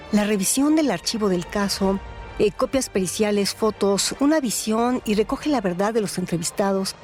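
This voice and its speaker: A woman narrates in a theatrical, deliberate way. Her voice sits at a medium pitch, with soft music behind it. The delivery is scripted, like a radio storytelling host. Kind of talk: scripted dramatic narration and commentary.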